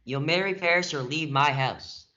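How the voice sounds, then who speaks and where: A domineering nobleman and father with a short temper, acted by a young man in a stage-play reading. His voice is bright and forceful, on a slightly dull recording. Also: a little high, moderate pace. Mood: angry.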